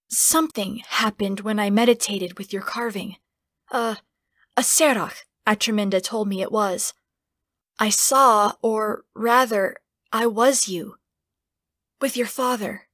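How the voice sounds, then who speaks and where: A woman voicing an earnest, hesitant young woman in an audiobook, speaking haltingly and tenderly. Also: fairly high pitch, fairly bright tone, no echo, studio quality. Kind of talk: audiobook character acting.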